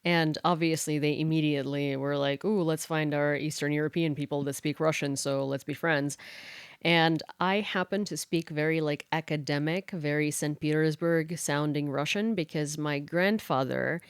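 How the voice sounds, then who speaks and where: A young woman from Ukraine, co-hosting a casual podcast and telling a story in an animated way, full of "like". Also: deep voice, normal speed, clear, resonant tone, no room sound, clean studio sound. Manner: animated storytelling, lots of "like" and laughing. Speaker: podcast co-host. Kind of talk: casual chat.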